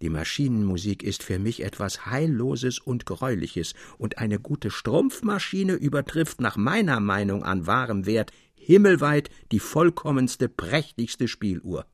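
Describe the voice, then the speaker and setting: A man's voice: medium pitch, sing-song, a little slow, hardly any pauses, dry recording, clean studio sound. A man reading the part of an earnest, indignant music lover in a literary tale, with exclamatory, rhetorical phrasing. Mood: indignant, impassioned. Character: Ludwig, earnest, indignant young Romantic man passionate about music.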